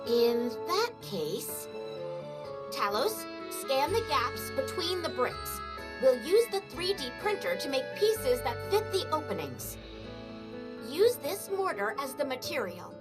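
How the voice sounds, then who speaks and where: A woman voicing a reserved, practical young girl engineer in a video game, measured and a little hesitant. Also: sits high, sing-song, exaggerated voice. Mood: focused. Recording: music behind the voice.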